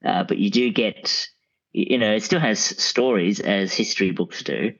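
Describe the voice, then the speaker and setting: Male podcast guest, very low voice, muffled lo-fi line, measured and slightly hesitant explaining. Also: a bit bright. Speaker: podcast guest, audiobook expert. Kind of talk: conversational explanation in an interview. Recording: dull, band-limited remote audio.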